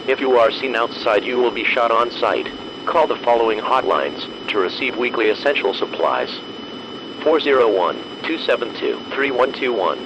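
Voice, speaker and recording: A man playing a stern official announcer reading a formal, deliberate, authoritative emergency bulletin. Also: medium pitch, natural voice. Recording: noisy, muffled radio-like alert recording.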